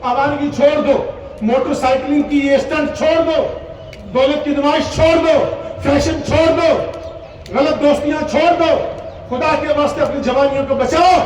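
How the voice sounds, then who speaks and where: High-pitched male cleric orating, heavy echo. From Poonch, Jammu and Kashmir, India. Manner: exhortatory, emphatic, with rhetorical repetition. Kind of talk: impassioned Urdu public oration at a religious gathering. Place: large gathering, speaking from a stage. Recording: echoey public address, distant, faint noise.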